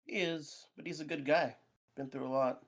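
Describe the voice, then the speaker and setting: A man reading the lines of a friendly, easygoing young man in a visual novel, in a relaxed, offhand way. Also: fairly high pitch, normal speed, vocal fry.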